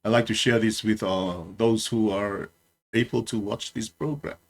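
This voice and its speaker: A man's voice: on the low side, steady tone. A middle-aged man from Samoa with a Samoan accent, a retired Marine, giving casual commentary with many fillers and restarts. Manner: halting, many fillers and repeated words.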